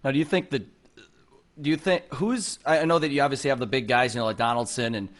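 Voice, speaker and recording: A man's voice: mid-range voice, fast. A man co-hosting a casual sports interview, asking wordy questions in a hesitant way. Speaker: co-host interviewer.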